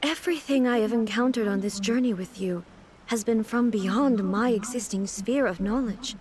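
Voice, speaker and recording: A woman voicing a dignified immortal ruler in a video game, speaking in a measured, formal, thoughtful way. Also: on the high side, a little mellow, close to an everyday voice. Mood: solemn, reflective.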